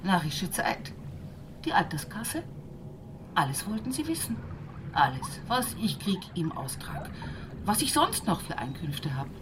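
Grumpy old bavarian farm woman, stubborn, very animated, radio drama, noise behind her. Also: lowish voice, a little slow, pauses often. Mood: scornful, grumbling. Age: older. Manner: grumbling, emphatic. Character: indignant poor old farm woman, stubborn, sharp-tongued. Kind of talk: colloquial radio play monologue.

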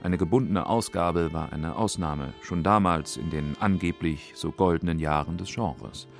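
Male narrator for a documentary, low register, scripted delivery over music. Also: normal speed, vocal fry.

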